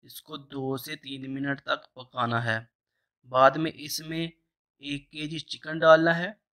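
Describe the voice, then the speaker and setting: A man's voice: fairly high pitch, slow pace. A man, narrating a home cooking recipe step by step as the channel host. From Pakistan. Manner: steady step-by-step instructional tone.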